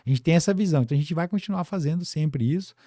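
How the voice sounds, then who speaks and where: A man's voice: a little high, very fast, warm tone, no room sound, studio quality. A Brazilian man speaking as a business guest on a casual podcast, colloquial and full of little tag questions. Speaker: podcast guest, financial services business director.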